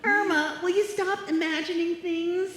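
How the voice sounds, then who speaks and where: A woman's voice: very high-pitched, fairly even intonation, measured pace, fairly bright tone. A woman playing a sensible, exasperated roommate in a live old-time radio comedy, speaking emphatically. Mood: alarmed, exasperated. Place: live stage performance in a hall. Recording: echoey hall, live stage, faint noise.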